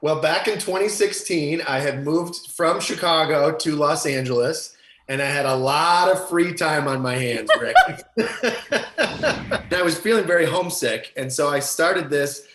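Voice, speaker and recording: A man's voice: on the high side, unhurried, fairly bright tone, slight background noise. A man chatting casually in an interview as a comedy series creator. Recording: echoey room.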